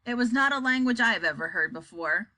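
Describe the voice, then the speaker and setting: A woman's voice: on the high side, lively intonation, a little fast, sharp tone. A woman voicing a nervous, deferential male interpreter in a comic children's story, shaky but insistent.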